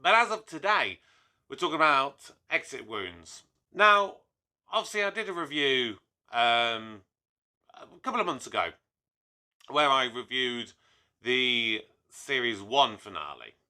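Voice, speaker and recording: A young man talks straight to his viewers in a loose, animated review, slipping in asides as he goes. His voice is slightly hoarse from a cold, yet it stays sharp and very bright, sitting at an ordinary middle pitch. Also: measured pace. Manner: chatty, animated, with fillers and asides. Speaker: YouTube reviewer. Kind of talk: casual solo review talk to camera.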